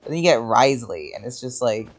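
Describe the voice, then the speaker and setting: A man's voice: mid-range voice, lively intonation, a little fast, poor audio. A man giving casual gameplay commentary as a streamer, rambling and reactive, swearing casually. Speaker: game streamer. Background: game music.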